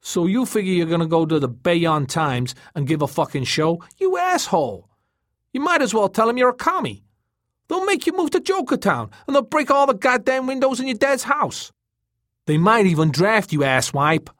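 Guy doing a cocky young tough for an audiobook, jeering and coarse, voice jumps way up, real loud. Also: fairly high pitch, measured pace, no echo, clean studio sound, voice pushed up, close to an everyday voice. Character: brash, mocking, foul-mouthed young working-class man. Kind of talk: audiobook character dialogue.

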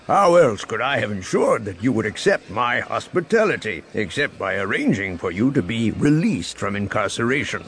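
A man voicing a huge, jovial alien crime boss as a resonant, grandiloquent baritone, smug and courtly. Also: very animated, normal speed, few pauses, a bit bright. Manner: grandiloquent, amused.

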